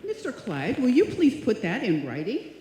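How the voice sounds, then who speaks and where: High-pitched, guileless ditzy secretary played by a woman, old radio comedy, echoey hall. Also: wildly swooping pitch, normal speed, dark tone. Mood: earnest. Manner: earnest, dim-witted, guileless delivery. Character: sweet, scatterbrained, naive young woman secretary. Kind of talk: old-time radio comedy acting before a live audience. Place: live stage performance in a hall. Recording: echoey hall, faint noise, live stage.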